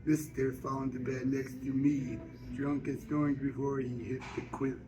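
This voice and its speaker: A man reading a first-person novel aloud as an amateur narrator, halting, with stumbles and repeated words. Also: mid-range voice, flat delivery, over music, rough recording. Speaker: amateur audiobook reader. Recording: faint background noise.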